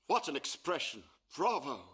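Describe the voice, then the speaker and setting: An actor in a radio play voicing a proud, embittered middle-aged painter, acerbic and theatrical. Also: male voice, fairly high pitch, sing-song, normal speed, fairly bright tone, slightly rough, full character voice. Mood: scornful refusal. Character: touchy, proud, embittered painter father of grown daughter.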